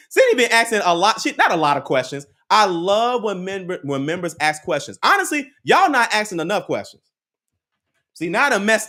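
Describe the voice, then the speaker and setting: A man hosting a livestream speaks with a very animated voice that swings high and low. He drives each point home by repeating it, talks straight to the chat and laughs now and then. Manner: preachy, repeats key lines, laughs often, talks to the chat. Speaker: credit advice livestream host. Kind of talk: live motivational chat with viewers.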